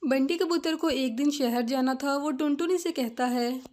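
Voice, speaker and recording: A woman with a high-pitched voice narrates a children's animated tale. Her delivery is scripted storytelling in the third person. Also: normal speed.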